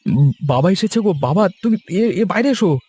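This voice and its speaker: A man voicing a gentle young husband in a cartoon. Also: high voice, animated, moderate pace, slightly rough, voice pushed up. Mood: eager. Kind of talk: Bengali cartoon dubbing.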